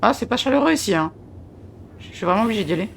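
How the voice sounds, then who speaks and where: A woman's voice: medium pitch, expressive, quick pace, vocal fry, slight background noise. A woman giving casual commentary while playing a horror video game, muttering and exclaiming reactions as she goes. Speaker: let's play gamer. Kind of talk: casual live gameplay commentary.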